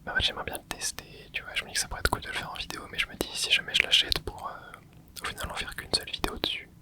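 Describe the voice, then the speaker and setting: A host whispering casual gameplay commentary, rambling and thinking aloud with many hesitations. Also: very fast. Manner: casual, hesitant, frequent filler words. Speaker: gaming channel host.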